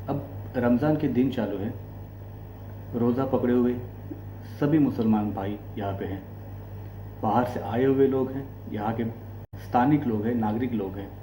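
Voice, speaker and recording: A man from India with an Indian accent, giving explanatory commentary as a host in deliberate, short broken phrases. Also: medium pitch, mellow. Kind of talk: explanatory political commentary to camera. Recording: echoey room, faint background noise and hum.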